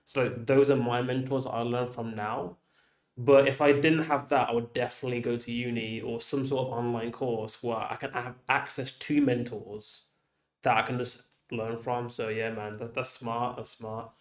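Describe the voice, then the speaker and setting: Male host, relaxed and chatty, lots of "like", echoey room. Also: medium pitch, moderate pace. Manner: relaxed, chatty, says "like" a lot. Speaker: interview host. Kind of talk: casual interview.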